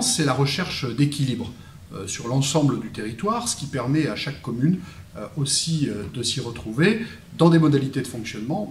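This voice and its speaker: Distant, echoing man answering formally. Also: mid-range voice. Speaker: interviewed elected local council president. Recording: echoing room, distant from mic.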